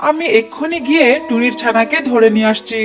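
A woman plays a headless cartoon ghost father, pitched down from her own voice. He is a doting, indulgent dad who reassures as he speaks, with music clearly behind him. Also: quick pace, close to an everyday voice. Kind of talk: children's cartoon voice acting.

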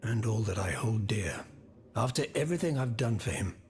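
An actor playing a proud, aggrieved former royal minister in a video game, formal and dramatic. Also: male voice, fairly low pitch, expressive, normal speed, a little hiss or hum, natural voice. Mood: grieving, anguished. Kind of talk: video game cutscene dialogue.

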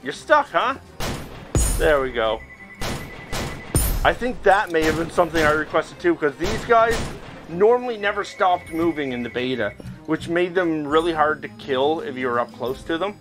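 A middle-aged man talks through a video game as he plays it. His voice sits a little high for a man, and his delivery is casual and explanatory, poking fun at his own skill. Faint game music plays behind him. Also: expressive, moderate pace. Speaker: gaming video host. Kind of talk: casual gameplay commentary. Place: indoors, recording while playing VR game.

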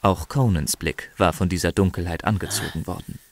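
A man narrating a scripted fantasy story dramatically, with measured, suspenseful phrasing and pauses. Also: deep voice, fairly even intonation, creaky. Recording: slight background noise.

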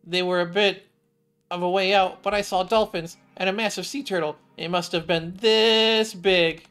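A man voicing a bubbly, excitable young woman from a game. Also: sits high, normal speed, smooth, voice pushed up. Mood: excited.

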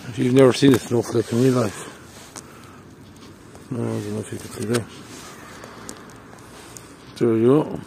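A man's voice: medium pitch, fairly even intonation, measured pace, creaky, pauses often, dark tone, slight background noise. A man, chatting casually and rambling as he walks a snowy park path, talking into a phone held at arm's length. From Ireland. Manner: chatty and rambling, playful sing-song bursts. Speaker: vlogger. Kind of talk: casual walking vlog. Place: outdoor park path in falling snow. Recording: faint outdoor ambience.

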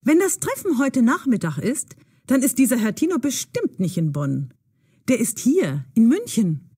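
A bold young woman hungry for adventure. Her voice is fairly high and very lively, with a slightly husky edge. She speaks briskly and excitably, in clear audio-drama style. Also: no echo, studio quality. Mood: eager, probing. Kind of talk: German-learner audio drama.

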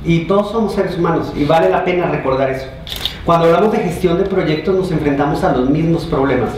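A man's voice: fairly high pitch, fairly quick, a little mellow. A middle-aged man with a Colombian accent giving an informal, conversational conference presentation, often checking in with the audience. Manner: conversational, addresses the audience with questions and check-in tags. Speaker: conference speaker. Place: large indoor conference hall. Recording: echoey conference hall, distant microphone, noisy.

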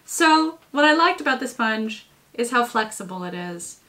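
A woman's voice: on the high side, expressive, normal speed, pushed and bright. A woman in her thirties presenting casually with playful, theatrical emphasis in a home kitchen. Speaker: puppeteer presenter. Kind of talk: casual explainer.

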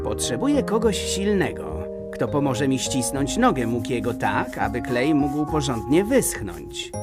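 A man voicing a gentle, patient father dog in a children's cartoon dub, playful, encouraging and expressive. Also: mid-range voice, measured pace, loud music behind the voice. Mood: reassuring.

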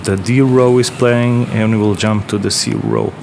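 Man with portuguese accent, low-ish voice, relaxed rambling demo, faint hum behind. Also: normal speed, vocal fry. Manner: relaxed, rambling, many fillers and restarts. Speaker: electronics maker. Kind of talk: casual workbench demo. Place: workbench, indoors.

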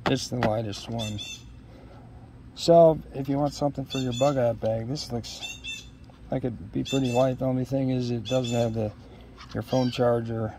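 A man's voice: mid-range voice, very animated, normal speed. A middle-aged man chatting casually outdoors, musing to himself in short, hesitant fragments as he unboxes gear. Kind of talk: casual unscripted unboxing chat. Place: outdoors in a leafy yard. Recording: outdoor ambient noise behind voice.

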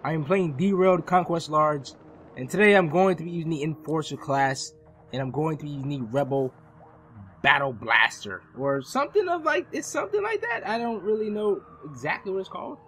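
A young man giving casual, profanity-laced commentary over his own console shooter gameplay, chatty and quick to laugh at himself. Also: a little high, animated, fairly bright tone, a little hiss or hum. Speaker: gaming YouTuber.

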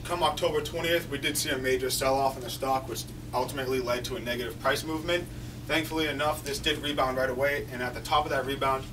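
A man's voice: mid-range voice, fairly even intonation, fast talker. A young man presenting on stage, walking the audience through stock charts. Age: young adult. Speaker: student presenter. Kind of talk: rehearsed finance presentation. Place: lecture hall on stage. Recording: distant voice with room noise.

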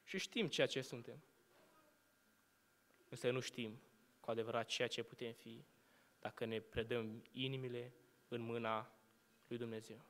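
A young man preaching a sermon from a lectern, asking rhetorical questions and letting his phrases fall to hushed endings. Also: medium pitch, fairly even intonation, long pauses. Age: young adult. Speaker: student preacher. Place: church hall at a lectern. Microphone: lectern microphone. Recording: long hall reverb.

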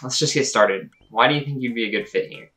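Guy playing bored deadpan young boss in a skit, medium pitch, bit of music behind. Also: fast, natural voice, rough recording. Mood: dismissive. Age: young adult. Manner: deadpan, dismissive. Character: unimpressed, deadpan young male boss interviewer. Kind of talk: amateur comedy sketch acting. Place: indoor basement living room.

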